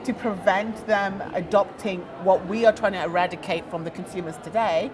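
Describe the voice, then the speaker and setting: A woman's voice: mid-range voice, fairly even intonation, normal speed. A woman interviewer who is chatty and opinionated, leading her guest with her own comments and questions. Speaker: interviewer, founder and CEO. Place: trade fair. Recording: busy crowd noise behind.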